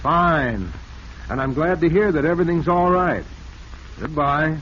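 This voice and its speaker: An actor playing a scheming, polite and nervous failed author in 1940s radio style, with hesitant, guarded delivery. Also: male voice, on the high side, measured pace, fairly bright tone. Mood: tense, furtive. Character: scheming, nervous male publishing-house reader and failed author. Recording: muffled, noisy vintage radio recording.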